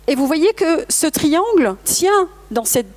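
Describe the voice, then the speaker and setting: A woman's voice: high voice, very animated, slow pace, darkish tone. A woman whose first language is English gives a presentation in French at a trade fair, speaking earnestly to her audience and sometimes correcting herself. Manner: earnest, motivational, addresses the audience directly; occasional self-corrections and hesitations. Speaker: conference speaker, language school founder. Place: talk at a professional trade fair.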